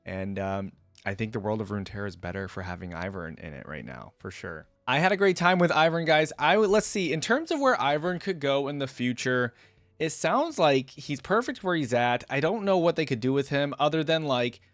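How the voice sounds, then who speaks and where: A man's voice: medium pitch, big pitch swings, a little fast, fairly bright tone, no echo. A man hosting a reaction video, giving enthusiastic, rambling, off-the-cuff commentary in a casual way.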